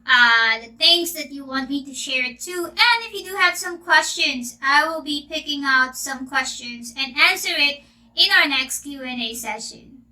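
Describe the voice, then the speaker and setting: Slow-spoken Filipino teacher. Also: female voice, sits high, animated, pushed and bright. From Philippines. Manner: chatty, questioning, drawn-out words. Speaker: English teacher and channel host. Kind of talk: casual Q&A vlog.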